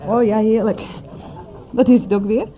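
A woman's voice: fairly high pitch, fairly even intonation, normal speed, muffled recording, natural voice. A woman playing a vulnerable, guarded suburbanite who talks in a confiding, self-deprecating way in a radio play. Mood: tipsy, relaxed. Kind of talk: radio play dialogue, naturalistic.

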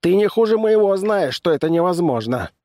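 A man's voice: sits high, big pitch swings, a little fast, mellow, no room sound, pitched above the performer's own voice. A man voicing an indignant, protesting male farmer in an audiobook story. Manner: huffy, protesting. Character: puffing, indignant male farmer defending himself.

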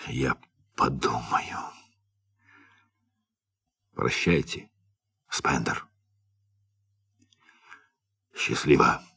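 An actor playing a grave, dutiful spaceship captain in a radio play, often hushed to a near whisper. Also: male voice, rumbling low, steady tone, very slow, vocal fry, pauses often, husky, exaggerated voice. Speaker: ship captain.

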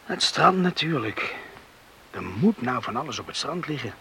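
An actor playing an eager, earnest young farmer in a radio drama. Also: male voice, mid-range voice, very animated, normal speed, gravelly, pushed and bright, full character voice. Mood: eager, coaxing. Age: young adult. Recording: hissy old radio recording.

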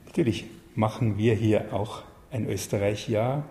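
A man giving reflective interview answers in halting, deliberate phrases. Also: lowish voice, steady tone, warm tone. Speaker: interviewed official and literary advisor. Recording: echoey hall, faint background noise.

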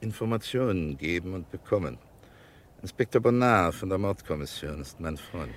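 Low-voiced, stubborn private investigator. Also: male voice, animated, moderate pace, a little hiss or hum. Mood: firm, matter-of-fact. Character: probing, stubborn, self-assured male private investigator. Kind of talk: German radio crime drama acting.

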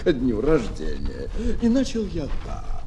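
An actor playing a pompous, dithering penguin poet who declaims theatrically in a children's audio play. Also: male voice, mid-range voice, huge pitch swings, unhurried, crowd noise. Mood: fussy, musing. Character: fussy, pompous male penguin poet, absorbed in rhyming. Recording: noisy old flexi-disc record.

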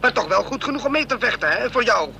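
An actor playing an offended provincial steward in a radio play, spluttering with indignation and scorn. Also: male voice, extremely high pitch, lively intonation, fast talker, creaky, sharp tone, full character voice. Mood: indignant, scornful. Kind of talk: Dutch radio play acting. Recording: old radio play, faint hum.

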